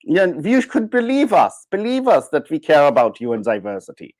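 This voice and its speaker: A man's voice: a little high, huge pitch swings, normal speed, a little mellow. A man voicing a preachy, hypocritical corporate executive, pompous and emphatic, in a mocking livestream parody. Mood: mock earnest. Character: preachy, hypocritical male corporate executive making grand pledges.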